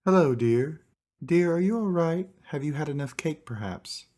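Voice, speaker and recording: A man's voice: a little high, expressive, normal speed, a little mellow, natural voice. A man voicing a fussy, hospitable old lady gnome who chatters in a motherly way, in a comic story reading.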